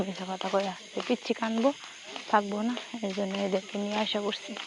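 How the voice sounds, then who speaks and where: A woman's voice: mid-range voice, steady tone, quick pace. A woman with a Bangladeshi accent chatting casually and intimately on the phone in short colloquial fragments. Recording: noisy phone call recording, insect-like hiss.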